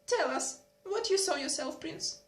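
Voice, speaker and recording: A high, exclamatory, bossy older noblewoman, as a woman reader puts her on, scolding her daughters with brisk authority. Heard in a roomy, echoing space from an audiobook. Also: lively intonation, faint music behind. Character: fussy, quick-tempered noblewoman, a mother of three daughters. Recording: roomy echo.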